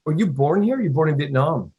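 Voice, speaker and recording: A man's voice: fairly high pitch, expressive, a little fast, darkish tone, breathy edge. A man interviewing a guest in a casual chat, rambling and hesitant with many fillers.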